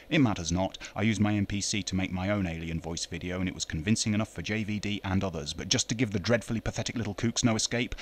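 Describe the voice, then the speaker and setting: Fast-talking, scornful man. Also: low voice, slight background noise. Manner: scornful, sarcastic. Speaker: video narrator. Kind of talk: scripted debunking narration.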